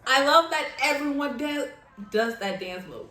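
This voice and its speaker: A woman's voice: high voice, lively intonation, unhurried, pushed and bright. A woman hosting a casual reaction video, speaking in an effusive, giggly, gushing way as she comments. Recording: roomy echo, music playing behind. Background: pop music from the video being watched.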